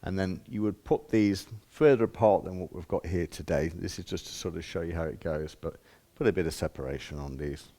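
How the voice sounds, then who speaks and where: A man's voice: sits low, brisk, few pauses, dark tone. A man giving an informal hands-on demonstration as a shop presenter, explaining step by step in plain, casual words.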